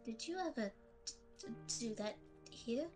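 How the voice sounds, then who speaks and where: A woman's voice: a little high, lively intonation, unhurried, over music. A woman voicing a timid young boy demigod, an anxious little brother with a small voice and a hesitant stammer, in an audio drama. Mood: nervous, apologetic.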